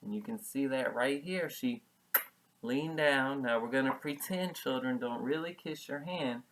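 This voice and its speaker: Slow, patient male preschool storybook reader. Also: mid-range voice, a bit bright, slight background noise, poor audio. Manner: patient, explanatory, repeats key words. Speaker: preschool teacher. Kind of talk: children's storybook read-aloud with simple explanations.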